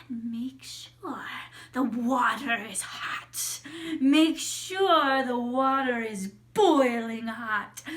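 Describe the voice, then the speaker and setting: A woman playing a bony old witch, slow and sinister. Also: high voice, fairly bright tone, full character voice. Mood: shouting, menacing. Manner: drawn-out words, coaxing then shrieking. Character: bony, gnarled old witch, falsely sweet and menacing. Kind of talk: live-style fairy tale storytelling.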